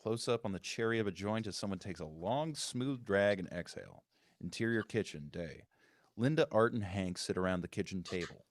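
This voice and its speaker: A man's voice: lowish voice, normal speed, dry recording. A man giving scripted narration, reading out the stage directions at a table read.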